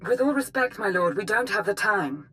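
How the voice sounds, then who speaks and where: An actress playing a serious, urgent Sith intelligence minister in a game, speaking in a formal, clipped, businesslike way. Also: female voice, medium pitch, expressive, moderate pace, fairly bright tone, lightly acted. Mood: pressed, insistent.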